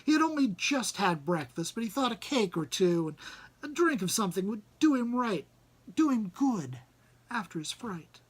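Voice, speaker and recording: A man with a husky, breathy-edged voice acts as reader and host. His delivery suits storybook narration and informal commentary alike. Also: high voice, very animated, normal speed.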